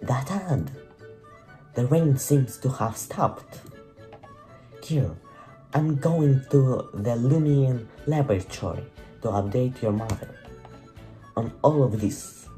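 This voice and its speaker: A man voicing an excitable middle-aged father, an archaeologist in a game, with a strange put-on accent. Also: mid-range voice, slow, pauses often, faint music behind. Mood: urgent. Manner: odd put-on foreign-sounding accent.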